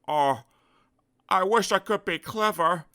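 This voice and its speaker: A muddled, gentle little fellow pipes up in a raised, very bright voice that leaps about with lively pitch, stumbling over little fillers, as a male storyteller puts him on. He sounds eager and a little sly, a character from a children's storybook. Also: slow pace, clear, resonant tone, exaggerated voice. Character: muddled gentle man, Mister Dizzy, growing sly.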